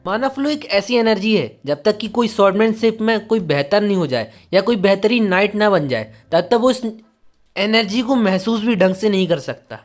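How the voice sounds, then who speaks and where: A loyal knight , acted out by a story narrator, anxious for his master and earnest in speech. The pitch is lively, with music underneath. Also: moderate pace, close to an everyday voice. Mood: explaining. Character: loyal, anxious male knight retainer of young lord.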